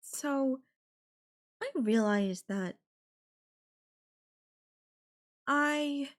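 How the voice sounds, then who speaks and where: An obsessive yandere girlfriend, acted by a woman for an intimate audio roleplay. She speaks slowly at a middling female pitch, drawing her phrases out coyly. Her casual, chatty wording carries a possessive, playful menace. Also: vocal fry. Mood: coaxing, casual. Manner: coy, drawn-out, casual filler-laden phrasing with giggles and sighs. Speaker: voice actor.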